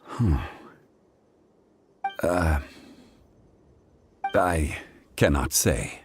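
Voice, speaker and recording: A man playing an ancient god in human form in a fantasy game, stoic and courteous, speaking in a measured, formal and grave way. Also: a bass voice, expressive, background noise, exaggerated voice. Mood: calm, reserved. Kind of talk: fantasy video game voice acting.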